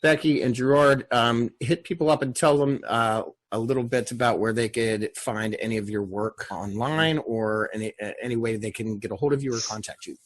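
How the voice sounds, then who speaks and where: A man's voice: medium pitch, moderate pace, hardly any pauses. A man hosting a casual group chat on an online video call. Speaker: host and moderator of a table read.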